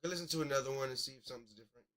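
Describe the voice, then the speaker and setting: A man's voice: mid-range voice, racing. A man hosting a casual live music review, giving blunt, critical commentary. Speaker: host reviewing submitted music.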